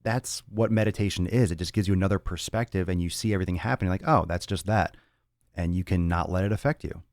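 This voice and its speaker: Deep-voiced blunt fitness podcast host. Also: male voice, quick pace, a little mellow, no echo, clean studio sound. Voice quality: raspy on some days. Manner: blunt and profane, rambling with restarts. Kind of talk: casual solo talk answering listener chat.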